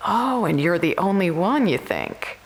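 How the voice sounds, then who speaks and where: Low, creaky, teasing woman. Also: sing-song, a little slow, background noise. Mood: playful, reassuring. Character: sociable, teasing, easygoing female research colleague. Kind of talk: naturalistic audio drama dialogue.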